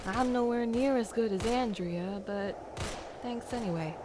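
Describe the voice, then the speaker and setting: A woman's voice: fairly high pitch, a little slow, natural voice. A woman playing a modest, self-deprecating trainee shooter in a comic dub. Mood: bashful. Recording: loud gunfire noise behind.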